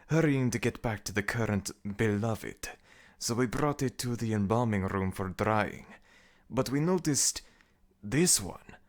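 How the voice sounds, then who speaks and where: A man voicing a nervous, servile assistant to a god of the dead, halting and deferential, in an ASMR audio roleplay. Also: medium pitch, pitched above the performer's own voice. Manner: halting, servile, reporting anxiously. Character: nervous, deferential underworld servant to the jackal god.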